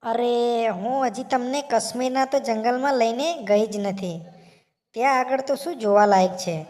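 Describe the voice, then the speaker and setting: A woman voicing a hospitable, frank married sister bird in an animated moral story, chatty and full of advice. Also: fairly high pitch, big-room sound, lightly acted.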